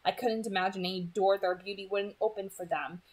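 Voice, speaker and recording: A woman's voice: medium pitch, steady tone, a little fast, husky, low-quality recording. Woman narrating a novel aloud. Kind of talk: scripted first-person story narration.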